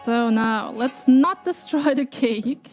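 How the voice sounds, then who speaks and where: A woman's voice: on the high side, slow, soft background music. A German woman streaming live from her home kitchen while baking, chatting casually with viewers and laughing often. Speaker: cooking streamer.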